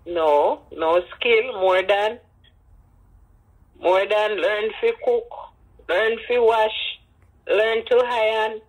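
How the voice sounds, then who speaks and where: Elderly woman, Jamaican accent, slow pace, husky, Creole phrasing, interview storytelling. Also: medium pitch, low-quality recording. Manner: emphatic repetition, Creole phrasing. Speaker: interviewee, grandmother.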